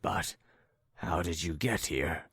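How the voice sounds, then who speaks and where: A young man, read by a male narrator, agitated and impatient, his voice sinking to a hissing whisper. He speaks slowly, and the sound is dry and close. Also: rumbling low, a bit bright, husky, dry recording, pitched below the performer's own voice, heavily acted. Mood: irritated.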